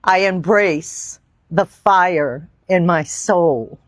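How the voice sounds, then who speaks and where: A woman teaching yoga, leading a guided meditation in deliberate, reverent phrases with long pauses. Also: on the low side, no room sound. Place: outdoor park.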